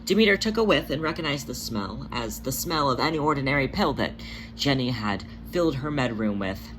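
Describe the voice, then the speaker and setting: An amateur narrator reads fiction expressively in a medium-pitched voice that sits between typically male and female. The home recording has clear background noise. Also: low-quality recording. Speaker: podfic narrator and channel host.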